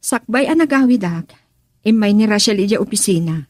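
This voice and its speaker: A woman's voice: medium pitch, expressive, fairly quick, darkish tone, no room sound, clean studio sound, natural voice. A woman acting a concerned daughter, earnest and confiding, in an Ilocano radio drama.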